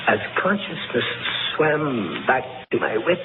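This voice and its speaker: An actor playing a defiant condemned French army captain, anguished and feverish, in an impassioned, theatrical radio-drama style. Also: male voice, mid-range voice, big pitch swings, slow, heavy background noise. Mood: dazed, haunted. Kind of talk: old-time radio drama acting. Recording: narrow-band vintage radio, music behind.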